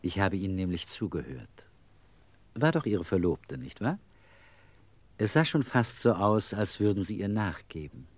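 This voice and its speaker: A husky, low-pitched man as a nosy stranger in a German radio drama. His delivery swings up and down, courteous yet slyly insinuating. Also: big pitch swings, unhurried, no echo, exaggerated voice. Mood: sly, polite. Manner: courteous, insinuating, hesitant openings. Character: intrusive male stranger at night station, polite, slyly chatty. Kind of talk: German radio play acting.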